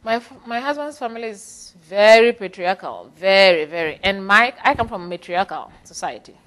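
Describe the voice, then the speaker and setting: A woman's voice: medium pitch, expressive, normal speed, fairly bright tone. Interviewee: middle-aged woman from Ghana, first language Fanti, mid-range voice, reflective and given to light laughter. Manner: thoughtful, frequent laughs and restarts.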